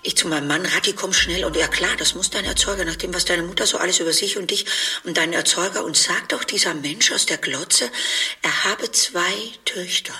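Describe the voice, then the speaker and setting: An actress playing a catty, sharp-tongued middle-aged half-sister in a radio play, speaking with a breathy voice and a sarcastic, gossipy manner. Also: female voice, on the low side, brisk, soft background music, exaggerated voice.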